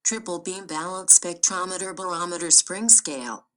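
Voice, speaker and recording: Bright robotic female read-aloud voice. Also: on the low side, normal speed. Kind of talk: synthetic text reading.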